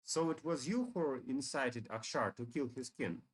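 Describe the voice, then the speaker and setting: A man's voice: medium pitch, moderate pace, lightly acted. A man voicing a righteous, solemn dragon hero from a fantasy game in an earnest way. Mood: indignant.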